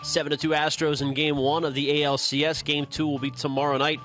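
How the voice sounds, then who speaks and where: A man's voice: a little high, flat delivery, fairly quick, a bit bright, soft background music. A man hosting a live radio postgame sports show, moving between recap and sponsor reads. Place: ballpark broadcast booth.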